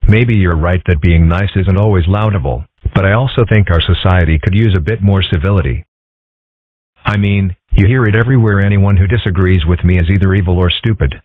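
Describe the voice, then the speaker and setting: A man voicing a mild, thoughtful, bookish schoolboy in a comic strip dub, measured, polite and dryly deadpan. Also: low voice, dry recording. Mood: calm, dry. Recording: muffled, phone-like narrow sound.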